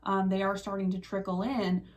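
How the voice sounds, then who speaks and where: A woman hosting a radio show, chatty and quick to laugh, talking casually with her guest about real estate. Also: mid-range voice, level tone.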